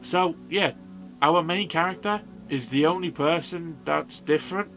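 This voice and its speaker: A man's voice: a little high, measured pace, creaky, fairly bright tone, soft background music. A man narrating a scripted comedic film review in a sarcastic, sweary manner. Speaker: film review video host.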